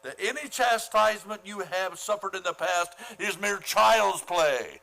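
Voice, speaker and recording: A man acts out a blustering, imperious school principal for an old-time radio comedy. His voice is high for a man and sharply forceful, with pompous, formal diction. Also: lively intonation, unhurried, gravelly, heavily acted. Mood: smugly menacing. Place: theater stage, radio play performance.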